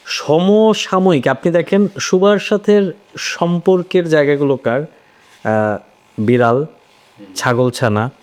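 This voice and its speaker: Male panel guest, slightly high voice, explanatory, question-and-answer way of making points. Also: darkish tone. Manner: explanatory, poses questions then answers them. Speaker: voice actor on a discussion panel. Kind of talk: conversational literary discussion.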